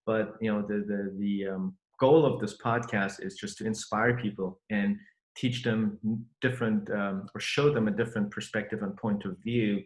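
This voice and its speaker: A middle-aged man from Germany hosts a podcast conversation, speaking earnestly and often pausing on fillers and restarts. His voice sits a little low. The sound carries a noticeable room echo. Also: steady tone. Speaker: podcast host. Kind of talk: conversational interview.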